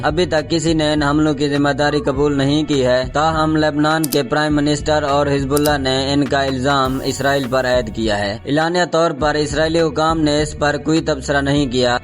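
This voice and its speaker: A man reading a scripted explainer narration in a formal, measured, documentary news style. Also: fairly high pitch. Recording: background music under the voice. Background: music.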